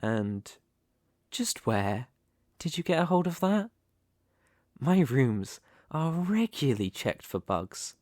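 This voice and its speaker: A man playing a smug, menacing, possessive mafia boss, speaking in a taunting, silky, deliberate way in a dramatic ASMR roleplay. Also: medium pitch, sing-song, a little mellow, no echo, clean studio sound. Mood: smug, quiet menace.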